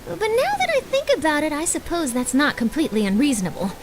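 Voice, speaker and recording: Perky, very high-pitched young reporter. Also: female voice, huge pitch swings, fast, noisy background. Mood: prying. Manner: bubbly, dramatic, gushing. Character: eager young female newspaper reporter, perky, nosy, theatrical. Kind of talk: animated video game voice acting. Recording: game music behind the voice.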